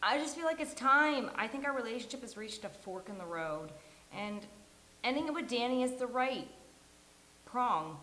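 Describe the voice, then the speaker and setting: A woman playing a frank, dramatic, neurotic sitcom woman at a table read, speaking with deliberate emphasis. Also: on the high side, expressive, sharp tone. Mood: resigned. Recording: hissy, roomy live recording.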